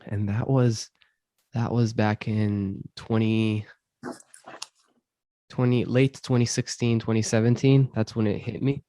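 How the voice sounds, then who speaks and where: A man from Syria, host of a self-improvement podcast, answering interview questions in a thoughtful, measured way with frequent ums. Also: fairly low pitch, little pitch movement, mellow, no echo. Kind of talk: reflective conversational interview.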